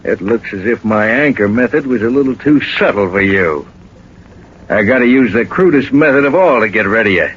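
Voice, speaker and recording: A dull old radio recording carries a murderous male smuggler boss. His voice is husky and rough, with menacing taunts that rise and fall widely. It is 1940s radio villainy. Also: medium pitch, animated, normal speed, a bit bright. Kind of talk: 1940s radio drama acting.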